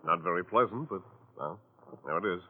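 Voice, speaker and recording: A matter-of-fact male lawyer in a 1940s radio drama. His fairly low voice rises and falls markedly as he delivers deliberate, blunt advice. The recording is tinny and narrow. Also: expressive, breathy edge. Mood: blunt, measured.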